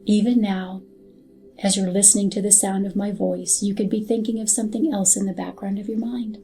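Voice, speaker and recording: Soothing, mellow woman guiding meditation, even-toned. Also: mid-range voice. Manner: soothing, soft, unhurried. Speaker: guided meditation narrator. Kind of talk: scripted hypnotic guided meditation. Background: background music.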